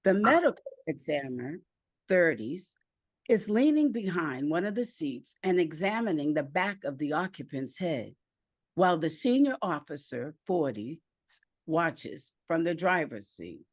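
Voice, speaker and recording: Deep, slow-paced woman narrator. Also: big pitch swings, no echo. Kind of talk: scripted narration, screenplay read aloud.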